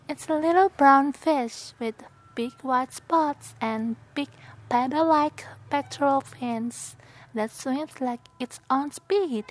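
A woman with an Indonesian accent doing a casual vlog, reading questions aloud, soft-spoken with whispered asides and giggles. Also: high voice. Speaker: vlogger.

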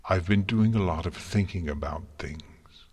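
A man's voice: low voice, measured pace, a little mellow, husky. A man voicing a distraught young female unicorn student in a story, emphatic, bitter and anguished. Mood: subdued, sorrowful. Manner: emphatic, anguished, sarcastic delivery.

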